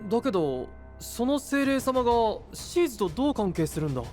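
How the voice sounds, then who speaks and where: A man's voice: very high-pitched, big pitch swings, fairly quick, darkish tone, soft background music. A man playing an earnest, eager young hero in an anime-style audio drama, direct and boyish. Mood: puzzled.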